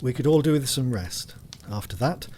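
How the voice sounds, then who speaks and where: A man's voice: medium pitch, animated, normal speed, a little mellow, slight background noise, natural voice. An actor playing a courteous, resolute male asylum doctor, formal and commanding, in an audio drama. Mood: reassuring. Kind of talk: theatrical audio drama acting.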